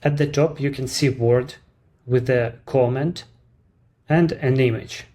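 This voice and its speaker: A man with a Ukrainian accent, a native Ukrainian speaker, walking through a software demo in English in a measured, explanatory way. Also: mid-range voice. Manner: measured, explanatory, slightly non-native phrasing. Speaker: app creator presenting his service. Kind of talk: screen-recorded software walkthrough.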